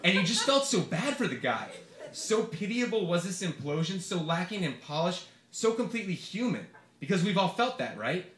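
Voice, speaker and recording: A man's voice: sits high, big pitch swings, moderate pace, fairly bright tone. A man reading his comic essay aloud to a live audience in a theatrical, profane storytelling manner. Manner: theatrical comic delivery, swearing, mimicking quoted speakers. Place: live reading event in a bar, before an audience. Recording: echoey bar room, noisy live recording. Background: audience laughter, room noise.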